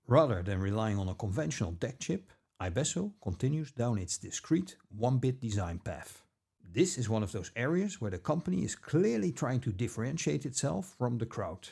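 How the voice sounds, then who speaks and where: A man narrating a scripted product review as an audio gear reviewer, with measured, deliberate phrasing. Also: mid-range voice, vocal fry, warm tone, breathy edge.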